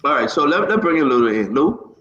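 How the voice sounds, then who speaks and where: A man's voice: fairly high pitch, big pitch swings, a little fast, a bit bright. A man hosting a live talk show in a conversational way, often repeating himself as he directs his guests. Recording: roomy echo, dull lo-fi sound.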